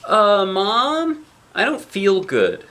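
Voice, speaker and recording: An indignant, outspoken young girl from a storybook, acted out by a man reading aloud. The voice is pushed high and comes out louder, insisting on being told the truth. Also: huge pitch swings, very slow, full character voice. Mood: upset. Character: upset, outspoken young girl confronting her mother. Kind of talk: picture book read-aloud.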